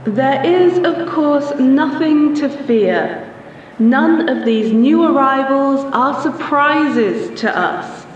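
A woman acts a stately official, calm and formal, high in pitch and very slow. She sounds distant and muffled in a long-echoing hall, like a recorded radio-play address. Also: soft background music. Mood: reassuring. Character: calm, stately female official of spirit affairs. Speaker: government official.

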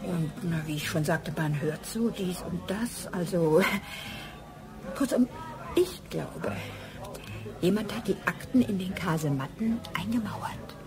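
An actress playing a discreet, confiding secretary who speaks hesitantly in a German radio crime drama. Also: female voice, fairly low pitch, animated, measured pace. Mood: hesitant, secretive. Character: discreet office secretary, confiding, apologetic, gossipy woman.

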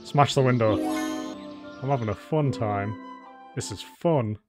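A man's voice: medium pitch, a little slow. Exasperated sarcastic man gaming over music. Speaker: let's play streamer. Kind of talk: casual gaming commentary with swearing. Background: game music.

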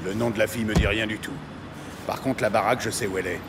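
An actor playing a hard-bargaining, territorial New York cop in a French audio drama, blunt and wary. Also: male voice, on the low side, fairly even intonation, fast, heavy background noise, lightly acted. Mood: wary, firm. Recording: loud music and street ambience behind.

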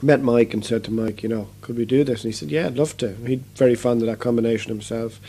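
Mellow-voiced musician, rambling. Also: male voice, medium pitch, fast, breathy edge. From Ireland. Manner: rambling, many fillers and restarts. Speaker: guest musician. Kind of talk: casual interview chat.

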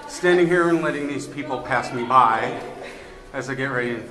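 A man's voice: mid-range voice, normal speed. A man chatting casually and good-humoredly as he narrates a sightseeing climb to his handheld camera, speaking while exerting himself. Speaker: amateur vlogger filming a sightseeing climb. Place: inside a narrow brick lighthouse stairwell. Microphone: handheld camera held by the speaker. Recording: echoey stairwell, long reverb, clear noise.

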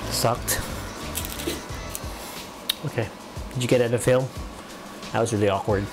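A man's voice: mid-range voice, steady tone, a little slow, music in the background. A young man with a Filipino accent chatting casually to the camera while he cooks in his home kitchen, making joking asides. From Philippines. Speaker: vlogger. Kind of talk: casual chat while cooking.

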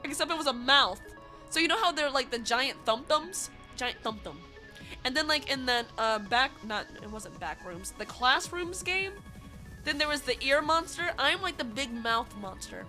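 A woman's voice: high voice, expressive, moderate pace, bright, forceful tone, faint music behind. A congested, nasal-sounding woman chatting casually and playfully with viewers as a livestreamer, giggly and affectionate. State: congested from illness. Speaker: streamer.

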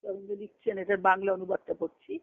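A woman giving an earnest, emphatic devotional discourse over a conference phone line, reciting scripture and verse and pausing between short phrases. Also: mid-range voice, level tone. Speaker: spiritual discourse speaker. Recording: tinny band-limited conference phone call.

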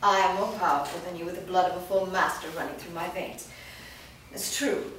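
A cold, commanding vampire master, read aloud by a woman in a staged reading, her threats slow and deliberate. She is heard from a far camera. The large studio room rings with heavy echo. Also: fairly low pitch, fairly even intonation, fairly bright tone, slight background noise, close to an everyday voice. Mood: menacing.

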